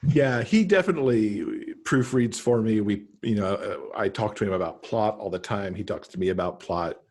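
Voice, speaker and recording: A middle-aged man chatting casually as a podcast guest on a video call, pausing to think as he goes. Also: lowish voice, a little mellow, breathy edge. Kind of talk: casual conversational interview. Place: home room, on a video call.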